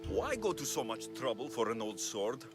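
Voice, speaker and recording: An actor playing a gruff young Greek mercenary hero, blunt and earnest, in a video game cutscene. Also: male voice, medium pitch, big pitch swings, normal speed. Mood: dry, guarded. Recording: music behind the dialogue.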